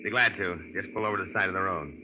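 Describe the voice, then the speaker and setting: A man's voice: sits low, fairly even intonation, racing, heavy background noise, close to an everyday voice. A man playing a streetwise young drifter in an old radio drama, telling his story in a wry, tough-guy way. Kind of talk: 1940s radio drama acting. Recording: tinny old radio broadcast with music.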